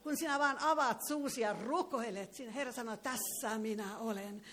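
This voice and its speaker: A woman leads a fervent charismatic prayer in a meeting hall. Her voice sits somewhat high, and she breaks into tongues between phrases. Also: fairly bright tone. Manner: fervent, prays with tongues interjected. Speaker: preacher.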